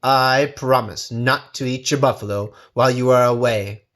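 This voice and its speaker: A man's voice: mid-range voice, steady tone, normal speed, a bit bright, natural voice. A man voicing a sly, hungry tiger in a storybook, with a polite, wheedling manner that hides his scheming. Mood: falsely sincere. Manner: courteous, wheedling. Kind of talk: storybook character voice.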